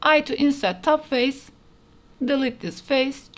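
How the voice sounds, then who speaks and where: Woman doing a 3d modeling tutorial voiceover, kinda high voice, plain step by step instructions. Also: slow pace. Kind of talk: step-by-step software tutorial narration. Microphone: voice-over over screen recording.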